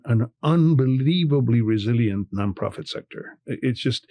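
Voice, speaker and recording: An older man from Cuba, a bank executive, speaks with steady passion in a podcast interview. He stresses his points by repeating words, at an ordinary mid pitch. The sound is dry and close. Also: no echo.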